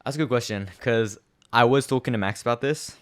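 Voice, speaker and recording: A man's voice: mid-range voice, brisk, smooth. A young man co-hosting a podcast, chatting casually and reflectively, rambling with many fillers. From Australia. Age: young adult. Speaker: podcast co-host. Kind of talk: casual chat.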